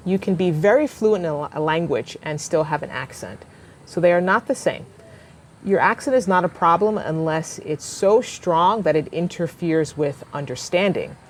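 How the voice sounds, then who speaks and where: A woman hosting an interview as an English teacher, asking questions and giving viewers clear, encouraging advice. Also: deep voice. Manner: encouraging, warm backchannels, clear teacherly delivery. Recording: faint background noise.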